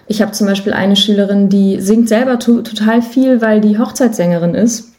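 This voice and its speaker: Woman with a mellow, chatty voice. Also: mid-range voice, steady tone, moderate pace. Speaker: podcast guest, musician and voice artist. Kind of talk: casual interview conversation.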